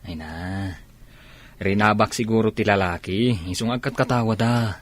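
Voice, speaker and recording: An actor playing a casual, nosy soldier buddy in an Ilocano radio drama. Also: male voice, fairly low pitch, moderate pace, close to an everyday voice.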